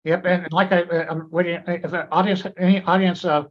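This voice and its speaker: A man's voice: high-pitched, flat delivery, brisk, lo-fi sound. Hesitant man moderating a webinar. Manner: hesitant, many fillers and restarts. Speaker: grand rounds moderator.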